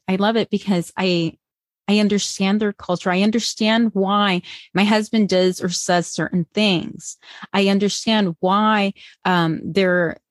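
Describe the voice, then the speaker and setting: A woman's voice: on the low side, no echo, clean studio sound. A woman from Colombia, a bilingual parenting educator, answering interview questions in an earnest, encouraging way with frequent restarts.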